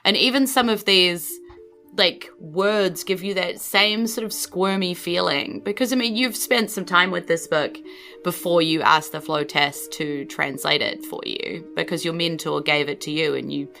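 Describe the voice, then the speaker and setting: A woman's voice: fairly low pitch, measured pace, vocal fry, sharp tone, soft background music. A woman with a New Zealand accent improvising descriptive narration as game master of a tabletop game, in a conversational way. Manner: conversational, descriptive, frequent "you know" asides.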